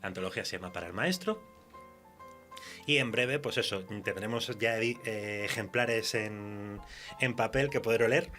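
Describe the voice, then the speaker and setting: A man with an accent from Spain speaking as a guest author in a conversational radio interview, halting as he thinks aloud. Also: fairly low pitch, pushed and bright, soft background music.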